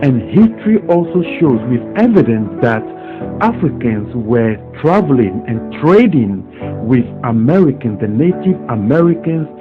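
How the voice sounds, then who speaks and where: A man giving explanatory commentary, speaking in a measured, deliberate way. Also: medium pitch, sing-song. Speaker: narrator. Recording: phone-like audio with music behind.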